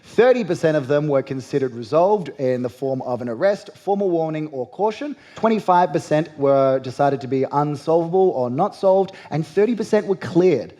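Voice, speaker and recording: A man's voice: on the high side, lively intonation, normal speed, hardly any pauses, warm tone. Sardonic Australian man doing a comedy monologue. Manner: sardonic, punchy delivery with rising punchlines. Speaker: late-night talk show host.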